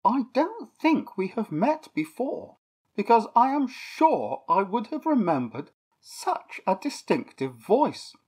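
A woman voicing a gentle, courteous male elf who speaks formally. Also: fairly high pitch, very animated, slow, breathy edge, voice pushed up, heavily acted. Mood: polite.

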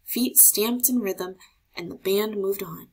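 A woman narrating a children's picture book aloud in an expressive storytelling style. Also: medium pitch, takes it slowly, husky, rough recording. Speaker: storybook reader.

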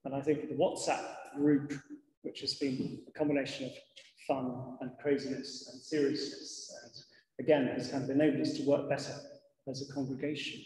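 Vicar preaching in an echoing church. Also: male voice, mid-range voice, level tone, normal speed, a little mellow. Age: middle-aged. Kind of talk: sermon to a congregation. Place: large church interior, indoors. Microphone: lectern gooseneck microphone. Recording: echoey church hall with long reverb.

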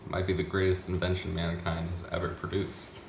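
A man's voice: low-pitched, completely flat delivery, fairly quick, vocal fry, echoey room, background noise. A young man making dry, brief remarks from beside a friend's phone camera. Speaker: travel companion.

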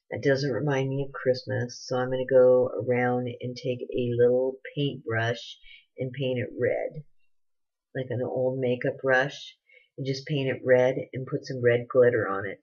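A woman's voice: a bass voice, level tone, moderate pace. A woman in her forties chatting casually in her living room, gushing over products and rambling between them. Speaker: beauty vlogger. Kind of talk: casual product haul chat. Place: living room at home, indoors. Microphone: webcam at desk distance. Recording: dull lo-fi webcam audio with noise.

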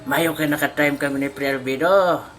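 A woman doing a casual joking skit with shout-outs, full of exclaimed interjections. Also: deep voice, fairly even intonation, normal speed.

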